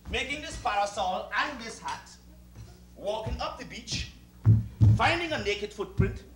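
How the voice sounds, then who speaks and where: An actor playing a proud, meticulous West Indian servant with a Caribbean accent, making formal, emphatic speeches in a theatrical style. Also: male voice, high voice, bright, forceful tone. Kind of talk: theatrical two-hander stage acting. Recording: roomy distant stage recording with hiss.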